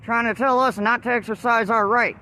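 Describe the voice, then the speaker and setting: A woman's voice: a little high, steady tone, a little fast, pushed and bright, rough recording. A woman auditor filming police at a roadside traffic stop on a handheld phone, lecturing officers about her rights in a defiant voice. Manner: defiant, lecturing, with forced laughs. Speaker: first amendment auditor. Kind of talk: confrontational street recording. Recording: faint outdoor traffic noise.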